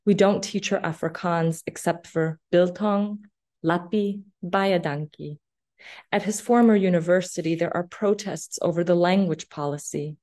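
A woman in her thirties, born in Afghanistan with Farsi as her first language, reads poetry in a measured, deliberate way over a video call from a small office. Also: on the low side, steady tone, darkish tone. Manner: measured, deliberate recitation with long pauses. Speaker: poet. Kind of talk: slow poetry reading. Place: small office room indoors. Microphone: laptop webcam video call.

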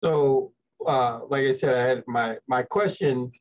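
Slightly dull, lo-fi video-call sound carries a man's mid-pitched voice. He is an interview host, feeling his way through a question in a casual, halting manner.

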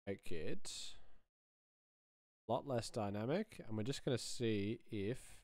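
Casual male tutorial host, 30s, low-pitched, thinking aloud, close dry mic. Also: slow, darkish tone, no echo. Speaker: sound designer, tutorial host. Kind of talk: casual screen-recorded demonstration, thinking aloud.

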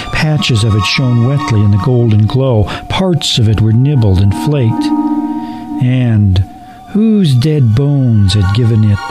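Male narrator for radio horror tale, mid-pitched, unhurried, ominous, music underneath. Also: poor audio. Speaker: story narrator. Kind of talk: dramatic scripted horror story narration for radio.

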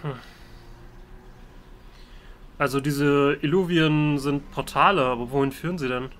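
Bright-voiced man role-playing, fairly high pitch. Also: fairly even intonation, measured pace. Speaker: let's player role-playing his game hero. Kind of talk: role-played game dialogue.

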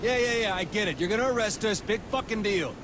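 A man's voice: high-pitched, fast, lightly acted. An actor playing a brash, swearing male gang leader. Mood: defiant. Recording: game audio with loud street noise.

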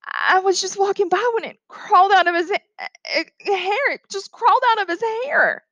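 A woman reader puts on a proper lady schoolteacher from a storybook. Her voice sits high and sounds flustered but polite. The sound is close and dry. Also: animated, normal speed, a bit bright, no room sound, lo-fi sound. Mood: panicked, horrified.

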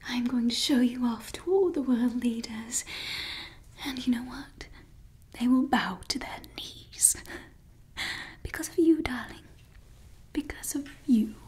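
A woman's voice: sits high, mellow. A young woman playing a grandiose evil woman scientist in an ASMR roleplay, whispering theatrically into a close mic in a small room. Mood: doting. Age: young adult. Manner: whispered, theatrical, self-important. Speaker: ASMR creator. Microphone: close mic at face level.

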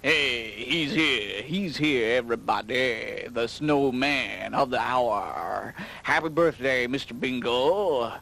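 A man's voice: mid-range voice, slow pace, gravelly, few pauses, bright, forceful tone, exaggerated voice. A man voicing a hip, laid-back tiger in a puppet show, talking in cool-dude slang. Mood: upbeat. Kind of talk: puppet show character acting.

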